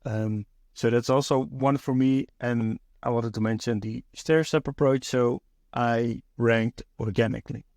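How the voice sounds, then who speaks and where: A man's voice: mid-range voice, fairly even intonation, a little slow, a little mellow, no room sound, studio quality. A man from the Netherlands with a Dutch accent, hosting his own podcast in a thoughtful, hesitant way with many fillers and restarts. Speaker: podcast host. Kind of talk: solo podcast monologue.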